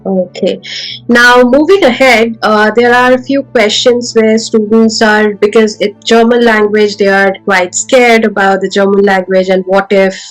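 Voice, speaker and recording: A woman's voice: mid-range voice, fairly even intonation, a little slow, poor audio. Female podcast host, hesitant questioner, faint music underneath. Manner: hesitant, many fillers. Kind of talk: interviewing with questions.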